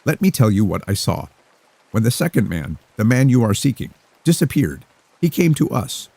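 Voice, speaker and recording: A man voicing a calm, mysterious young woman who speaks formally and rhythmically. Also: mid-range voice, vocal fry, slightly rough, no room sound, heavily acted. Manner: formal, rhythmic, unhurried delivery.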